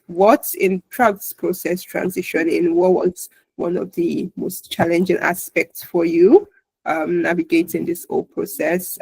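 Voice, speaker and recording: A woman's voice: on the low side, gravelly, a little mellow, husky, dry recording, poor audio. A woman hosting an interview podcast, agreeable and encouraging, often nodding along with short replies. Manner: agreeable, encouraging, with frequent backchannels. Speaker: podcast host. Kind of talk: conversational interview.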